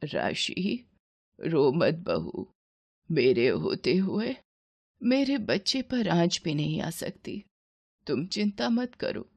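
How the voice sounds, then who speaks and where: A woman's voice: fairly low pitch, big pitch swings, takes it slowly, gravelly, a little mellow, husky, full character voice. A woman voicing a devoted, sorrowful elderly mother in a melodramatic cartoon story, speaking in a tender, weak, pleading way. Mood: tender, reassuring.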